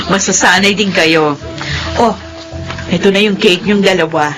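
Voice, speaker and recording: A woman's voice: on the low side, slow pace, over music. A woman playing an emotional, busy mother in a Filipino radio drama. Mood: cheerful. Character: mother of a newly well-off family, emotional and busy.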